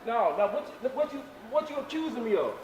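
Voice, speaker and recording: Very high male voice, American accent, rapid, combative, heated argument, roomy reverberant space, faint noise. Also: sing-song. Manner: defiant, combative. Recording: echoey large room, faint noise.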